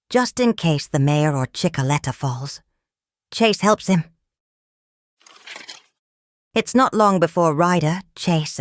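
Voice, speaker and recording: A woman reading a children's story aloud in an expressive storytelling manner. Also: low-pitched, dry recording, studio quality. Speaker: storybook reader. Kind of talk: scripted read-aloud narration of a children's story.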